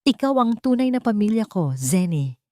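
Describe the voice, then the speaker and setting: A woman voicing a frail, gentle elderly mother who speaks tenderly in an audio drama. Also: medium pitch, big pitch swings, moderate pace, no room sound, clean studio sound, pitched above the performer's own voice, natural voice. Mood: warm, fond.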